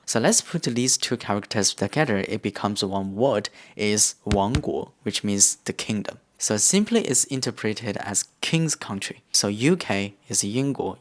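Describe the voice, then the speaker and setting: Lively young man teaching. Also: lowish voice. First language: Korean. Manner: lively, explains step by step. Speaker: language teacher. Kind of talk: explanatory video lesson.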